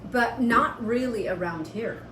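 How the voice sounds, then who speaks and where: A woman's voice: on the high side, unhurried. An American woman co-hosting a homestead vlog, chatting casually and reading viewer comments. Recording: roomy, distant mic, background noise.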